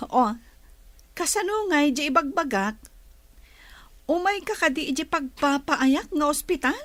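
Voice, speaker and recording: A kindly godmother in a radio play. Her voice is high and very animated, unhurried, in a dry studio. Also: no echo, exaggerated voice. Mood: hesitant, friendly. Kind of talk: radio drama acting.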